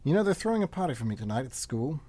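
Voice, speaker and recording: A man plays an eager, friendly traveller who is easily hurt. His voice sits on the high side and is recorded close and dry. He talks colloquially and earnestly, in the manner of a radio play. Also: big pitch swings, rapid-fire, warm tone, dry recording. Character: friendly, wounded, eager Australian traveller Len, newly arrived volunteer teacher. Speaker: radio theatre actor. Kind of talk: stage-play dialogue for radio theatre.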